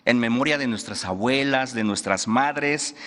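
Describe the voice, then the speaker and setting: A man's voice: mid-range voice, a bit bright. A man speaking formally and solemnly at a press conference, introducing a cultural segment. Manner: solemn, measured delivery. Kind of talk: formal ceremonial speech. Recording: long hall reverb.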